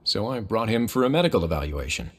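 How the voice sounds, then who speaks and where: An actor playing a composed, authoritative duke in a video game, with a measured, dry, matter-of-fact manner. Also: male voice, fairly low pitch, sing-song, slightly rough. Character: composed, authoritative male duke running a prison fortress.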